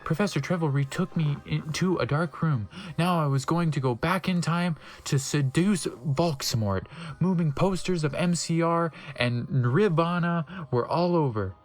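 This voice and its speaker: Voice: medium pitch, measured pace. A narrator giving a theatrical, tongue-in-cheek comic reading of fan fiction. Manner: theatrical, with mocking asides.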